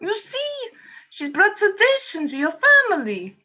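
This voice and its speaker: Lady acting pushy coaxing exorcist in a radio drama, really high voice, super animated, kinda dull audio. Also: measured pace, full character voice. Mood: coaxing, exasperated. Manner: insistent, coaxing, theatrical. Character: earnest ghost exorcist coaxing a stubborn spirit. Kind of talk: amateur radio play acting.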